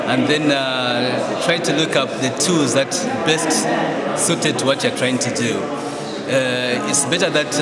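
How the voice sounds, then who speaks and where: A man from Zambia, giving a measured, explanatory interview answer at a conference, pausing often on fillers. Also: mid-range voice. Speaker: network secretariat head. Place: conference venue hall. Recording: echoey hall with loud crowd.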